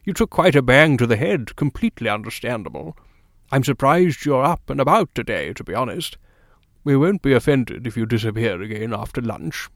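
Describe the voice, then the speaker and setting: A man's voice: medium pitch, animated, a little fast, no room sound, studio quality, close to an everyday voice. A man voicing a kindly, courteous doctor in an audiobook, affable and reassuring in manner. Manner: affable, polite. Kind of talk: audiobook character dialogue.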